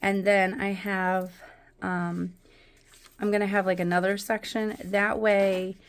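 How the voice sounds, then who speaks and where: A woman's voice: mid-range voice, level tone, takes it slowly, a little hiss or hum. Woman, thirties, craft vlog host, voice worn from a cold, rambling, filler-heavy. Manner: chatty, rambling, many ums and likes. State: recovering from a cold, voice not fully back. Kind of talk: casual crafting tutorial. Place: indoors at a craft table.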